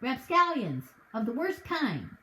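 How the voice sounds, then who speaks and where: A woman puts on a pompous, red-faced landowner bellowing in rage at a storybook reading, his voice jumping high and loud. He splutters insults and never calms down. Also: a little slow, roomy sound, heavily acted, poor audio. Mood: furious. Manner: shouting, spluttering. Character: blustering angry rich landowner man.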